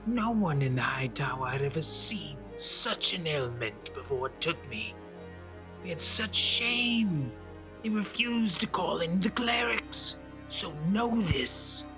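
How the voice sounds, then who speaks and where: A woman acts a haughty, melodramatic immortal in a comedy skit. The voice is low and unhurried, stately and self-important, with music clearly behind it. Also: animated. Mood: haughty, aggrieved. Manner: pompous, slow. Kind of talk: theatrical comic monologue.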